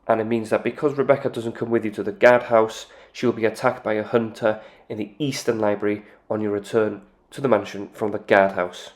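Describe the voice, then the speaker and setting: A man hosting a video game channel, giving calm game analysis commentary with occasional "uh" fillers. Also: fairly low pitch, brisk, few pauses. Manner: calm explanatory delivery with "uh" fillers.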